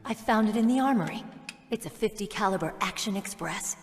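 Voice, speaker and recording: An actress playing a determined, tough young rookie soldier in a video game, earnest and self-assured. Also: female voice, medium pitch, expressive, fairly quick, lightly acted. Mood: confident. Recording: reverberant hall, music behind.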